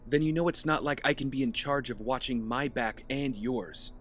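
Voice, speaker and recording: A man's voice: mid-range voice, normal speed, fairly bright tone, faint music behind, natural voice. Terse, brooding actor as a male vigilante. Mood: stern, guarded. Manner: terse, gruff, guarded. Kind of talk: audio drama dialogue.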